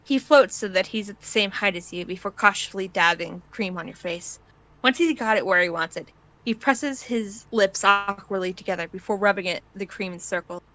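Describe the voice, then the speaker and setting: Casting: female narrator for story read-aloud, forward, bright tone, mid pitch. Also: moderate pace, low-quality recording. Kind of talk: scripted fan fiction narration in second person.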